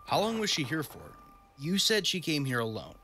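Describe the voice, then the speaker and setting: Man as practical adventurer with lively pitch. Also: normal speed, soft background music. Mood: cautious. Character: practical, cautious male adventuring companion. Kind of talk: animated audio drama acting.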